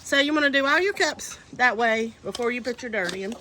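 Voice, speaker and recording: A woman's voice: on the high side, sharp tone. A woman with a stuffy, congested voice, chatting casually as she shows how to repot seedlings outdoors in the wind. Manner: chatty, homey and instructive. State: congested from a cold. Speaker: homesteading vlogger. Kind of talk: casual how-to gardening vlog. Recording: faint wind noise outdoors.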